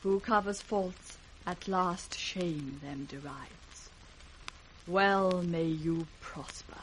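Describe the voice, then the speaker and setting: An actress playing a sincere, dignified, wronged princess in a 1960s classical radio drama, measured and formal. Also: female voice, on the low side, a little hiss or hum, low-quality recording. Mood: sad, steadfast.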